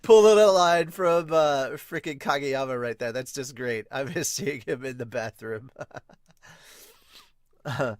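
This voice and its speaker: A man with an average-pitched voice hosts a casual reaction podcast. He reads comments aloud and reacts in an informal, amused, exclamatory way. The sound is dry, with little room to it. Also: takes it slowly, no echo. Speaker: podcast host reviewing manga.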